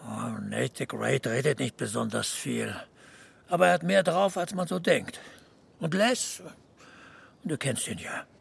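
An actor playing a laconic older small-town man in a radio drama, gravelly and husky, with a dry, understated manner. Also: mid-range voice, measured pace, full character voice. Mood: dry, calm. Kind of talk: naturalistic radio drama acting.

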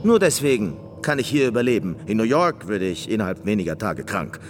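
An actor playing a neurotic, eccentric and reclusive concert pianist in a radio play, obsessive, pedantic and self-absorbed. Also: male voice, lowish voice, very animated, a little fast, a little hiss or hum. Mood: defiant. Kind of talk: radio play monologue and dialogue.

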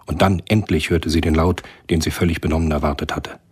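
A man narrating a spy thriller radio drama in a measured, literary storytelling manner. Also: a bass voice, fairly even intonation, breathy edge, full character voice. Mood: grave, measured.

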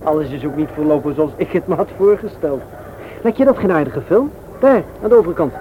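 An actor in a radio play playing a hesitant but eager male suitor with a halting manner. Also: a little high, animated, natural voice. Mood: insistent. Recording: dull, noisy old radio recording.

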